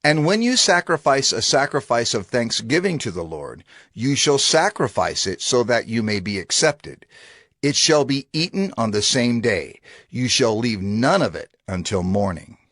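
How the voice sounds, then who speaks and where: Expressive, solemn reciter of law. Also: male voice, mid-range voice, no echo, clean studio sound. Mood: solemn, instructive. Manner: measured, formal, declarative. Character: male reciter of divine law, solemn, instructive. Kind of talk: dramatized scripture reading. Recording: music under the voice. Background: music.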